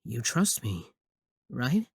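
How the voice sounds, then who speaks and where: A man's voice: medium pitch, wildly swooping pitch, slow, husky, dry recording, studio quality, heavily acted. A young man acting a possessive, obsessive student admirer in a scripted ASMR roleplay, sweet yet menacing. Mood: tender, concerned.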